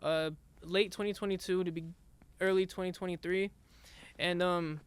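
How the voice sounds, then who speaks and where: Soft-spoken young man, high clear voice. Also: steady tone. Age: young adult. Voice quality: raspy singing voice. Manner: soft-spoken, halting, many restarts. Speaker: rap artist guest. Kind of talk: casual interview chat.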